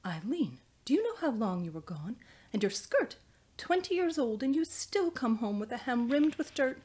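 A woman's voice: lowish voice, expressive, normal speed, warm tone, breathy edge. A woman voicing a fussy, chiding mother in a historical novel. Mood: scolding. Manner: chiding, exasperated. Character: scolding, fussy Edwardian mother.

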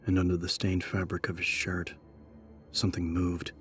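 A man's voice: low voice, monotone, normal speed, a bit bright. A man narrating a horror audiobook in a scripted, dramatic style. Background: background music.

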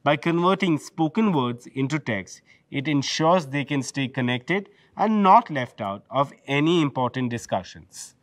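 A man's voice: fairly high pitch, animated, measured pace, few pauses, dry recording, studio quality. A man with an Indian English accent giving a polished, scripted product presentation from behind a lectern. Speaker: corporate presenter. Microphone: lectern microphone.

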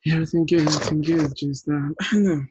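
A man's voice: a little high, fast, noisy background. A man around his thirties chatting casually as host on a video call. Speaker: host, filmmaker running a table read. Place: small room indoors, on a video call. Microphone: earphones on a video call. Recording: dull, echoey video-call audio.

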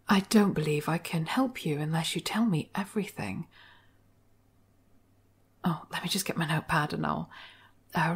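A woman acts out an obsessive female therapist in an audio roleplay. She is slow and breathy, slightly low in pitch, often slipping into whispers. Her manner is soothing and coaxing, with a sly, controlling edge. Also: heavily acted. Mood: assured, probing. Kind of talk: intimate scripted ASMR roleplay, addressed to the listener.

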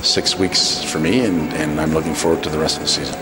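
A middle-aged man, a head coach, giving a measured, halting post-game interview into a handheld mic. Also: extremely low pitch, steady tone, music in the background. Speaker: ice hockey head coach.

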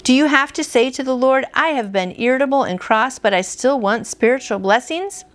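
A woman's voice: high voice, expressive, normal speed. A woman in her fifties hosting a devotional, reading aloud in an earnest, conversational way. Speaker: devotional host. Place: small furnished room at home, indoors.

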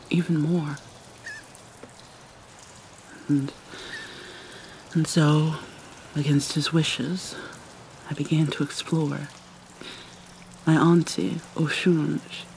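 A woman voicing a guarded, wounded vampire mermaid in an ASMR roleplay, speaking in a hesitant, halting, hushed and often breathy way. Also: sits low, slight background noise, low-quality recording. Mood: reflective. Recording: rain and water sounds behind. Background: rain and pouring water.

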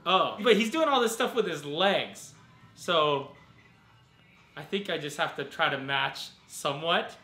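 An American man chatting casually as a vlogger, in an informal, animated and jokey way. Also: on the high side, measured pace, faint music behind. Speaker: vlogger, host. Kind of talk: casual vlog chat.

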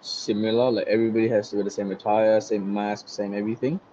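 A young man with an Australian accent, a guest chatting casually on a video call, relaxed and rambling. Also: fairly low pitch, fast talker, slight background noise. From Singapore. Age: young adult. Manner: relaxed, rambling with many likes and you-know-what-I-means. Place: small bright room indoors. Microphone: headset. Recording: dull lo-fi video call audio.

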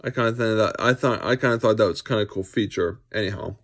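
A young adult man giving a casual, unscripted gadget demonstration, halting and often repeating himself. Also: lowish voice, fairly bright tone. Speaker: amateur vlogger showing gadgets. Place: small furnished bedroom indoors. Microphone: phone or camera at arm's length.

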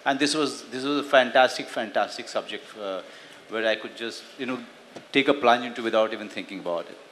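A middle-aged man, answering press questions on a stage with a handheld mic, halting and full of fillers. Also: mid-range voice, fairly bright tone, slight background noise. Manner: halting, many fillers and restarts. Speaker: actor on a press panel. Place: large hall on a stage. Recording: long hall reverb.

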